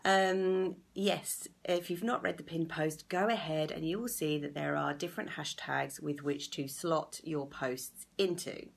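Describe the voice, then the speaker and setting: A woman in her thirties with a croaky voice, hosting a casual live video as an anxiety coach. She is chatty and encouraging and greets viewers by name, talking into a phone in a small room at home. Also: deep voice. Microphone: phone or laptop camera at arm's length.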